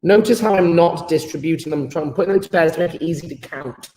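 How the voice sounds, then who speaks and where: A man's voice: fairly high pitch, quick pace, darkish tone, reverberant, poor audio. A British man teaching a live online chemistry lesson, playful and enthusiastic, joking with his pupils.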